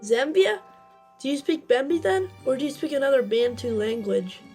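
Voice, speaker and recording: Woman playing bookish brainy kid, modest, precise wording, high-pitched voice, children's audio drama, light music underneath. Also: moderate pace, a little mellow, natural voice. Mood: curious.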